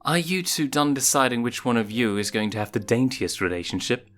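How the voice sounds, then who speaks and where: A man puts on a motherly lioness for a storybook tale, lifting the pitch. She is curious and gently amused, teasing as she questions, over faint music. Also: lively intonation, brisk, close to an everyday voice.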